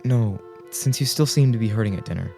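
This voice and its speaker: A man plays a gentle, worried husband in a radio drama. His voice is dark and mellow, his manner earnest and formal. Light music plays behind him. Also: lowish voice, fairly quick, vocal fry. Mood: concerned. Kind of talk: radio play acting.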